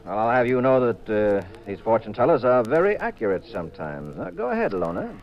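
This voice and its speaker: An actor playing a skeptical, teasing, self-satisfied detective husband in a 1950s radio comedy-mystery, brisk and sardonic. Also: male voice, fairly low pitch, big pitch swings. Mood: teasing, needling. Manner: brisk, sardonic, playfully needling. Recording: dull 1950s radio recording, faint music.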